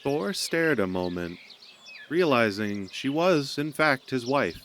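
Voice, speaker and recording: A man's voice: medium pitch, sing-song, slow pace, a bit bright. A man narrating a scripted audio drama as a storyteller. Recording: noticeable background noise.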